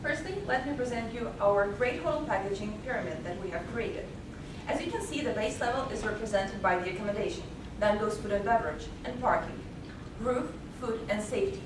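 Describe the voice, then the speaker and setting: A young woman from Ukraine giving a rehearsed student case presentation, explaining in a brisk, earnest way. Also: medium pitch. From Kyiv, Ukraine. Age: young adult. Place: large echoey hall, heard from afar. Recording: distant echoey hall recording with noise.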